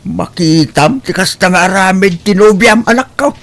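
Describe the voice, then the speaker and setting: A man playing a hardworking, put-upon elderly father-in-law, gently reproachful, in an audio drama with an Ilocano accent. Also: sits high, normal speed, faint music behind. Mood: hurt.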